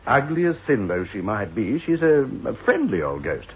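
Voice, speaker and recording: An actor playing an excitable, plucky young husband in an old-fashioned radio ghost drama, blustering and exclaiming. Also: male voice, on the low side, moderate pace, husky, full character voice. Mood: pleased. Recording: muffled, tinny radio recording.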